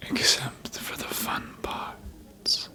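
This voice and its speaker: A performer voicing a sly, seductive, manipulative vampire in a breathy ASMR whisper, coaxing slowly and deliberately as if hypnotizing. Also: bright, forceful tone, full character voice. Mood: soothing, sly.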